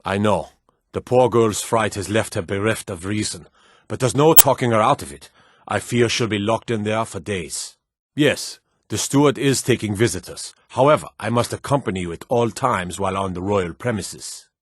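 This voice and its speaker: An actor playing a stern, dutiful fantasy guard and soldier in a video game, formal and commanding, with measured delivery. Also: male voice, fairly low pitch, no echo, studio quality, close to an everyday voice. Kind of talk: scripted fantasy game dialogue.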